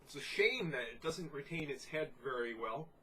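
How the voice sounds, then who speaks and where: A congested man giving a casual, descriptive beer tasting review, unhurried and full of "you know" fillers. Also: a little high, animated, a bit bright, slight background noise.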